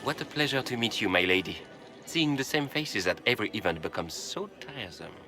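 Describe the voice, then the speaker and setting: A man's voice: fairly low pitch, expressive, a little fast, music in the background. A man playing a jaded, courteous society nobleman in a fantasy game, urbane and chatty. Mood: bored politeness.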